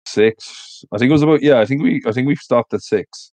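A man's voice: medium pitch, fairly quick, darkish tone, no room sound. A man chatting casually with friends as a co-host on a podcast.

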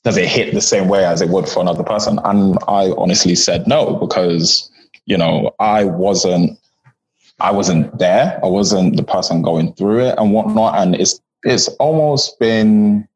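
A man's voice: low-pitched, measured pace, husky. A man moderating a reflective, casual discussion, in a slightly dull recording.

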